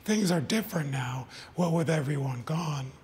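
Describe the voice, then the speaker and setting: A man voicing a warm, spirited old woman in a storybook read aloud, wistful and gently teasing. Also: fairly high pitch, expressive, normal speed, breathy edge.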